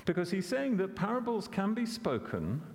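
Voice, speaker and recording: A man's voice: high-pitched, sing-song, moderate pace, a little mellow, big-room sound. A man preaching a sermon, conversational and questioning, often repeating words as he corrects himself. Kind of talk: expository sermon.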